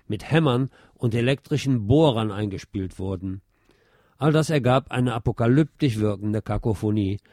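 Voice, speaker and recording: Male nonfiction narrator: lowish pitch, warm relaxed tone, articulate literary reading. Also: no echo, clean studio sound. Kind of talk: scripted narration, literary essay read aloud.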